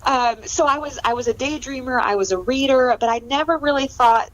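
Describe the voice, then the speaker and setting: A woman's voice: high voice, fairly quick, lo-fi sound, slight background noise. A middle-aged American woman from California chatting as an interview guest in a conversational, self-deprecating way. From California, United States.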